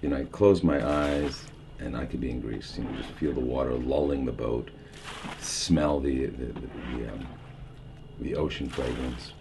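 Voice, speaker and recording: Deep gravelly new york guy, middle-aged, musing out loud, lots of ums, bit of hiss. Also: fairly even intonation, measured pace. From United States. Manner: meandering, musing with fillers and restarts. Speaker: documentary subject. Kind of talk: reflective interview monologue. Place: houseboat on a river.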